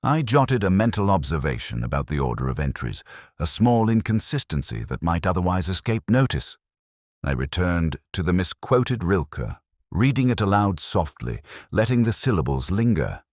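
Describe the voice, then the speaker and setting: A man reading scripted first-person detective fiction in a measured, contemplative, literary manner, as a narrator. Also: low voice, sing-song, no room sound, studio quality.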